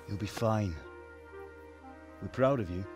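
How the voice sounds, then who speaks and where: A middle-aged man voicing a medieval blacksmith father in a game, speaking in a gentle, reassuring way. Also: on the low side, slow, music in the background. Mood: tender.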